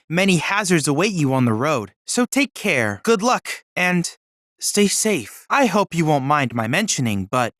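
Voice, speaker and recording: Young android boy researcher, video game dialogue, earnest, precise, polite, animated intonation, close dry sound. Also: mid-range voice, no echo. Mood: encouraging, courteous, then politely prodding. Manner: formal, precise, courteous, earnest.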